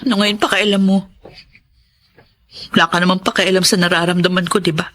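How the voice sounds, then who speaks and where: An actress playing a betrayed, jealous wife, heartbroken and bitter yet still in love, in an intense, emotional radio drama style. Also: female voice, fairly low pitch, level tone, a little fast, fairly bright tone, close to an everyday voice. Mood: bitter, sarcastic. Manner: emotional, intense, anguished delivery. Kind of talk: melodramatic Tagalog radio drama acting.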